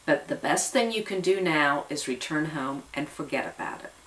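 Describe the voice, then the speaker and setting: A woman voicing a calm, serious older retired army intelligence man in an audio story, explaining in a measured way. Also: low voice. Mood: grave. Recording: voice distant from the mic.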